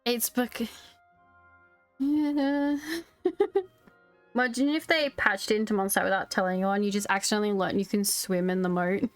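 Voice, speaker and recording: A woman's voice: mid-range voice, steady tone, moderate pace, pauses often, clean tone, no echo, faint music behind. A woman streaming a video game, chatting casually with her viewers as she plays. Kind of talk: casual live stream commentary.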